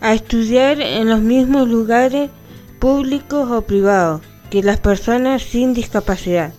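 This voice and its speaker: A woman's voice: a little high, measured pace, warm tone. A woman reading scripted text and narration for an amateur radio programme, with music behind.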